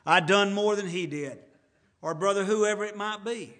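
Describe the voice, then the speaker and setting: A man in his fifties delivers a sermon, speaking earnestly and conversationally. His voice sits a little high for a man, and he drops into homely asides as he goes. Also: moderate pace, a bit bright. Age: 50s. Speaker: pastor.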